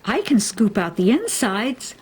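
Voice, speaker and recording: A woman's voice: fairly high pitch, very animated, a little slow, very noisy. A woman voicing a kind, helpful, encouraging young forest animal in a children's storybook.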